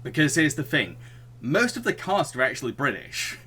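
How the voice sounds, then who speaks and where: A man's voice: on the high side, sing-song, normal speed, sharp tone, a little hiss or hum. A young adult man hosting a film discussion podcast, talking through plots and opinions. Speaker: podcast host. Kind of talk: film discussion, partly read-out plot summary.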